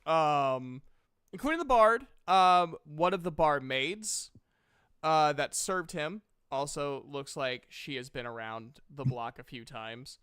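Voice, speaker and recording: A man runs a tabletop fantasy game, narrating scenes off the cuff. His voice is bright and strikingly clear, and his delivery is slow and halting. He often thinks aloud and slips in playful asides. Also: a little high, expressive. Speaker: game master.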